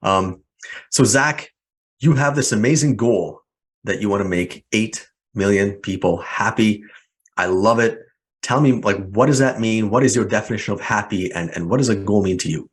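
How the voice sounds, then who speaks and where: This is a deep-voiced man in his forties who hosts a casual podcast. He talks in a friendly, rambling way and piles on fillers and restarts as he tells stories. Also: normal speed. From Hungary, raised in Canada. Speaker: podcast host. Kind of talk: casual interview chat.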